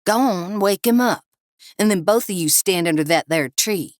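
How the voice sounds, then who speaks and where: A woman acts out a brutal male thug from an audiobook thriller. The voice is raised and pushed above a low narrating register, barking orders and snarling threats. The recording is close and dry. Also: normal speed, no echo, lightly acted. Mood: menacing. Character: menacing, foul-mouthed armed male thug, snarling orders.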